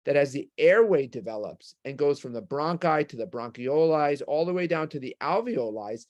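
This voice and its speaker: A man with a New York accent giving a medical lecture with slides, explaining things step by step and posing rhetorical questions. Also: mid-range voice.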